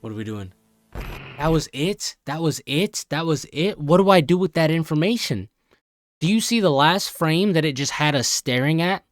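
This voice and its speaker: A man's voice: a little high, lively intonation, moderate pace, dry recording. A young American man giving casual, joking reaction commentary in exclamatory slang. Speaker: host of a reaction channel.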